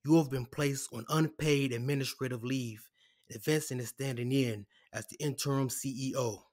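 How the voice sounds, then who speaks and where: A man playing a composed, apologetic corporate lawyer in an audio drama, speaking in a measured, formal way. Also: medium pitch, steady tone, a little mellow, natural voice. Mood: matter-of-fact. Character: composed, apologetic corporate defense lawyer delivering bad news.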